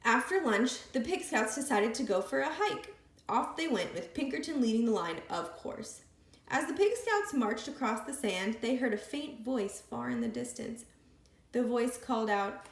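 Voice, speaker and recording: A woman reading a picture book aloud with expressive, lively storytelling. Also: fairly high pitch, normal speed. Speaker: storybook reader. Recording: echoey room.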